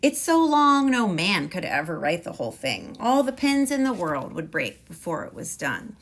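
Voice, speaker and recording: A woman voicing a gentle, wise old male poet in a storybook read-aloud. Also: mid-range voice, big pitch swings, moderate pace. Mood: kindly.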